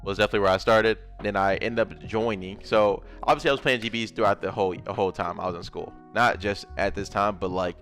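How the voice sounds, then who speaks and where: A man's voice: fairly low pitch, fast talker, hardly any pauses, a bit bright, faint music behind. A young man from Memphis with a Southern accent, casually telling his life story while drawing, rambling and adding little sound effects. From Memphis, Tennessee. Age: young adult. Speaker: gaming content creator.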